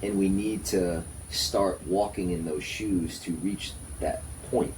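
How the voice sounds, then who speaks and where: Deep voice guy, podcast guest, thoughtful casual talk in short bits, noticeable room noise. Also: slow, a little mellow. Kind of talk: casual reflective conversation. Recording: noisy room ambience.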